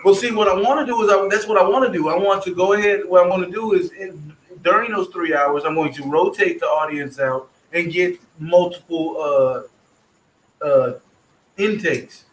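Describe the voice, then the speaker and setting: A man's voice: high-pitched, moderate pace. A man hosting a casual online group chat, rambling loosely about his plans and swearing freely. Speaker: stream host. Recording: echoey room.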